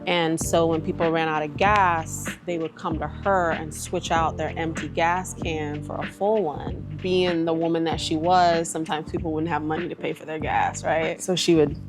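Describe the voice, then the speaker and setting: Woman from trinidad and tobago, low voice, chatting candidly like in a documentary, music behind her. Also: flat delivery, normal speed, few pauses. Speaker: aesthetician and entrepreneur. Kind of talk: personal documentary interview, reflective storytelling. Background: background music.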